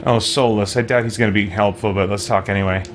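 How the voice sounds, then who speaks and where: A man's voice: on the low side, steady tone, quick pace. A man giving casual gameplay commentary as a streamer. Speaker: gaming streamer. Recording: game music behind. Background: video game audio.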